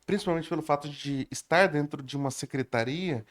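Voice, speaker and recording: A Brazilian man hosting a conversational podcast interview, feeling his way into roundabout questions with pauses. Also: fairly high pitch.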